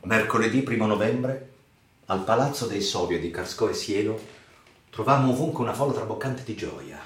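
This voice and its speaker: A man reads a dramatic literary narration for radio theatre in a theatrical, emphatic, measured way. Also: on the low side, expressive. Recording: roomy echo with music underneath. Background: music.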